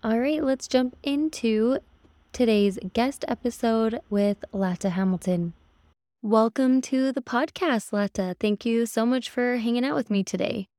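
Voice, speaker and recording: A woman's voice: a little high, unhurried, mellow, no room sound, clean studio sound. A woman hosting a podcast, upbeat and friendly, reading a scripted intro and then chatting with her guest. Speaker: podcast host. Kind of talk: podcast host interview.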